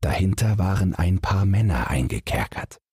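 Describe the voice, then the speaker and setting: A man reading a bedtime fairy tale as a scripted audiobook, with measured, dramatic storytelling. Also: low-pitched, big pitch swings, a little mellow, breathy edge, no echo, studio quality. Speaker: audiobook narrator.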